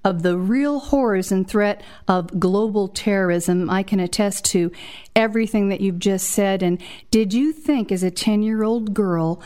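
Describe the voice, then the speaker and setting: A woman hosts an interview in a mid-range voice. She speaks formally and earnestly, in a measured, prepared-sounding way. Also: mid-range voice, warm tone, smooth. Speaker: host.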